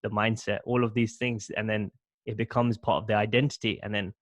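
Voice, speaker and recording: A man's voice: on the low side, flat delivery, fairly quick, no echo. Thirties guy hosting a podcast, stammers a bit, close mic, dead dry sound. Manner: thoughtful, stammering restarts. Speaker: podcast host. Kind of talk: interview questions. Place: small room at home. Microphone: studio mic close up.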